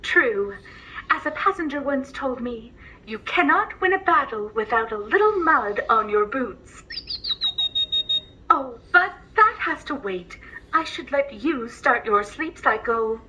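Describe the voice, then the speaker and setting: High-voiced, earnest female droid. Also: animated, a little slow, bright, forceful tone, heavily acted, poor audio. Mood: grateful, excited. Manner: polite, formal, attentive to a passenger. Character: earnest, grateful female logistics droid, eager bedtime storyteller. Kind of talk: scripted character dialogue and storytelling.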